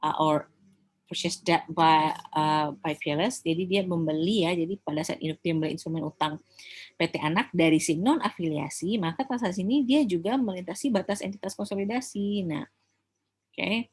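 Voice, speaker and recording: Husky low-pitched Indonesian woman lecturing. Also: moderate pace, a little mellow. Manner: conversational, often checking understanding with tag questions. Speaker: accounting lecturer. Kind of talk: informal lecture explaining slides, mixing Indonesian and English.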